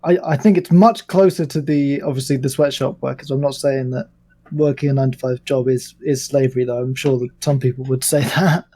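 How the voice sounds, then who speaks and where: A man's voice: medium pitch, steady tone, brisk, dark tone. A man in a casual podcast discussion, speaking tentatively and often correcting himself. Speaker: podcast panelist.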